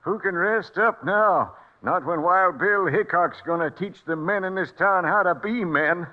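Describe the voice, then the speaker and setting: Feisty, high-pitched Western old-timer. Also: male voice, unhurried. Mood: indignant. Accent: American. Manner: folksy, cantankerous frontier talk. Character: feisty wounded old-timer, stubborn and irascible. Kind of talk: old-time radio western acting. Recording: muffled old radio broadcast recording.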